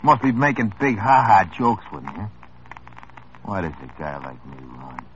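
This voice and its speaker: An actor playing a small-time hoodlum in an old radio drama, tough, wry and world-weary. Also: male voice, on the low side, big pitch swings, moderate pace, a little hiss or hum. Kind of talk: 1950s radio drama acting. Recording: muffled old radio broadcast.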